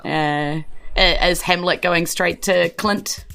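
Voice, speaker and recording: A woman's voice: fairly low pitch, steady tone, slow, creaky, bright, forceful tone, clear, resonant tone, faint music behind. A woman with a New Zealand accent casually improvising narration as game master of a tabletop game.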